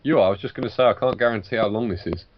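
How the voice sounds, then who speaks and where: Fast-talking low-voiced man, muffled mic. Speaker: guest co-player. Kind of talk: casual chat during a game. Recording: muffled lo-fi mic with background noise.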